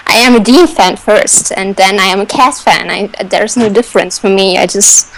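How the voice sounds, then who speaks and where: A woman's voice: mid-range voice, normal speed, fairly bright tone, slight background noise, low-quality recording. A woman talking casually and emphatically as a panelist in a fan discussion. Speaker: radio show panelist.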